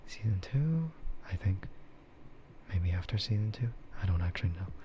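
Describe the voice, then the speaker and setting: Low, whispery man rambling over loud ambience. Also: expressive, normal speed. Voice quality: breathy, soft, mostly whispered. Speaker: streamer, VTuber. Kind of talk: ASMR, casual rambling stream chat. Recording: dull, muffled audio with loud ambient noise.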